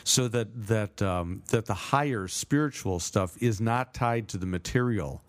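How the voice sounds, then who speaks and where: A man co-hosting a casual theology podcast, speaking haltingly and often repeating words as he works out his point. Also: fairly low pitch.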